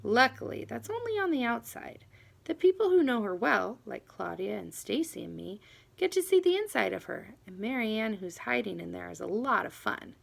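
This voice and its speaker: Female storybook narrator, lively and expressive, swooping intonation, very gravelly fry in the voice. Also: fairly high pitch, normal speed. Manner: animated, dramatic emphasis on key words. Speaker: audiobook reader. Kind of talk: expressive children's book narration.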